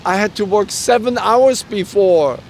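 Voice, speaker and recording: A middle-aged man from Germany, a native German speaker with a German accent, telling personal stories earnestly and haltingly in an interview. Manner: halting, earnest delivery with self-quoted dialogue. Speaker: interview guest. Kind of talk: personal storytelling in a conversational interview. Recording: outdoor street recording with traffic rumble.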